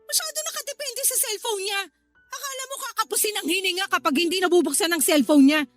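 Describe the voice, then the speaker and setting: A woman's voice: very high voice, quick pace, soft background music. A woman playing a haughty, scornful young elder sister in a Tagalog radio drama, sneering and scolding.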